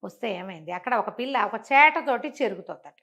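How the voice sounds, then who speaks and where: A woman telling a moral folk tale in a conversational, animated way, acting out dialogue and asking rhetorical questions. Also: on the high side, brisk. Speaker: storyteller.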